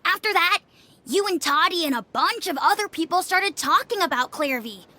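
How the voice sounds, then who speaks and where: An actress voicing an obedient young child in a video game, reporting others' misdeeds with precise, accusing calm. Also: female voice, very high-pitched, normal speed, heavily acted. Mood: cool, accusing.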